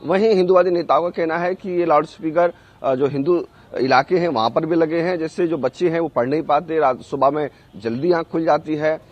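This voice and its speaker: A male news correspondent reports live. His voice is somewhat high for a man, and he speaks haltingly, often pausing to find the next word. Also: a little mellow. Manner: halting, searches for words with frequent hesitations.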